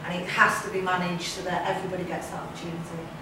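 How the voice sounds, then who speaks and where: A woman's voice: on the low side, little pitch movement, rapid-fire. A woman talking informally as a film director on a panel. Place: indoor event room at a film festival panel. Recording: echoey room from far off, noisy.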